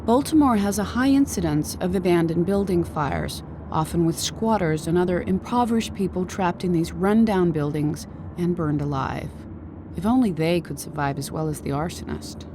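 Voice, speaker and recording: Actress as composed female researcher, low voice, measured clinical narration, horror game, loud background noise. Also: lightly acted. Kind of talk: scripted narration.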